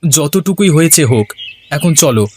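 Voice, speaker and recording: A man's voice: fairly high pitch, expressive, moderate pace, a little mellow, a little hiss or hum, lightly acted. A man playing a patient, hardworking village farmer, husband and father in a folk-tale cartoon dub. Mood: practical, coaxing. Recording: music behind the voice.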